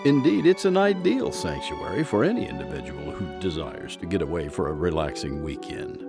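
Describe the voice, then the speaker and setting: A man's voice: sits low, big pitch swings, moderate pace, over loud music. A man narrating a scripted audio drama in a theatrical, folksy storybook style.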